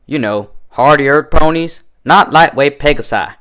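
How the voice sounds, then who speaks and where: A stubborn cartoon farm mare tending bar as a man plays her, slightly raised in pitch. She has a folksy Southern country drawl and a wary, cautioning way of talking. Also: normal speed, a bit bright, close to an everyday voice. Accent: American country Southern.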